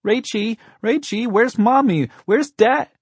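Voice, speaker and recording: Whiny, clingy little boy, piercingly high and loud, childish storybook character. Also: slow, breathy edge, no echo, full character voice. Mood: anxious pleading.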